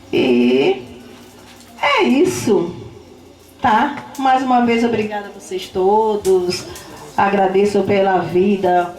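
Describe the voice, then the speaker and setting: Grateful woman in an echoey hall. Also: mid-range voice. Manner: warm, grateful, heartfelt, with frequent tag questions. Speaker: retiring teacher. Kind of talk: farewell speech at a ceremony.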